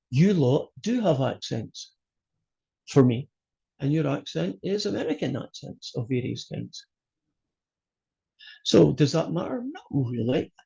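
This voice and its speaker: A man's voice: on the high side, creaky, mellow, slightly rough, lo-fi sound. A Scottish man, a college math instructor, introducing his course over a video call in a chatty, joking way. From Scotland. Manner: chatty, joking, loose with fillers. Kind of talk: online class introduction.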